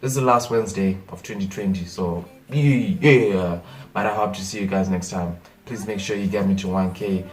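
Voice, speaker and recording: A young Zimbabwean man with a Zimbabwean accent, a native Shona speaker, talking in a casual comedic vlog rant, animated and exasperated, repeating his points. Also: deep voice, normal speed, recorded from a distance. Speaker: vlogger.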